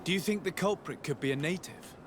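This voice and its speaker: An actor playing a polite, composed noble envoy in a video game, formal, courteous and inquiring. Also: male voice, fairly high pitch, brisk, noisy background, close to an everyday voice. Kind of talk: video game cutscene acting.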